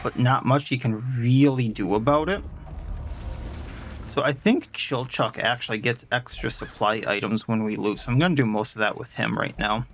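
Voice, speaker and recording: A man's voice: mid-range voice, normal speed, creaky, slight background noise. A man with a stuffed-up nose and a sore throat, chatting casually as he plays and explains his party's stats at length. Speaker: let's play host. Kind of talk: casual gameplay commentary.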